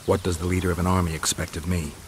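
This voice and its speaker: An actor playing a terse, skeptical monster-hunting swordsman in a video game, gravelly and dry, speaking in clipped phrases. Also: low voice, level tone, rapid-fire, slight background noise, lightly acted. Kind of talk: game dialogue acting.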